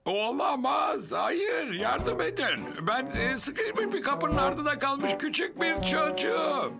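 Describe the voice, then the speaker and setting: A man's voice: very high voice, sing-song, takes it slowly, gravelly, over loud music, heavily acted. A man voicing a pompous older fire station officer in a children's cartoon, blustering and theatrical, stammering as he goes. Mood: mock panic. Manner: blustering, stammers with filler sounds. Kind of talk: animated children's cartoon dub.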